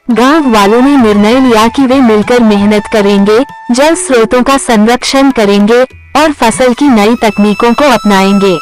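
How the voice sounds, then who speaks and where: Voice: medium pitch, rough recording. A storyteller reading a scripted moral tale in a narrating style. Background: background music.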